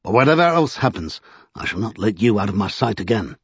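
A man's voice: on the low side, sing-song, fairly quick, husky, dry recording, highs cut off, exaggerated voice. A man voicing an earnest, desperate serving man in a storybook, insistent and exasperated. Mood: resolved.

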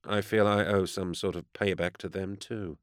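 A man's voice: low-pitched, sing-song, normal speed, no echo. A man voicing a weary, sardonic veteran inquisitor in an audiobook, with a dry, curt, muttering manner. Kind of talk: audiobook character dialogue.

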